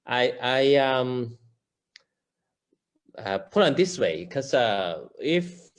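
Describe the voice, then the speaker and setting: Slow, hesitant Chinese-speaking man. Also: mid-range voice, very animated. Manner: slow, hesitant, halting with restarts. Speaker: English student. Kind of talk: lesson conversation.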